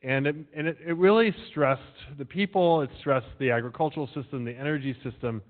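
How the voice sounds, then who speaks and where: A man's voice: medium pitch, fairly quick. A man giving enthusiastic introductory remarks as a moderator, sometimes repeating himself. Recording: tinny phone-like lecture recording.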